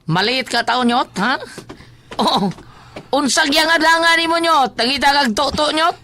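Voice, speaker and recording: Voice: high-pitched, moderate pace, background noise, close to an everyday voice. A performer playing a wheedling, jokey villager in an audio drama, pleading and teasing repetitively. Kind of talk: comic horror audio drama acting.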